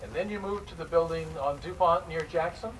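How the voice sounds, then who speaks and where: A man's voice: on the high side, brisk, a bit bright, natural voice. A man playing a stern, suspicious immigration interrogator of the 1910s, formal and probing, in a dramatic reading. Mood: suspicious, curt. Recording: distant microphone in noisy live room.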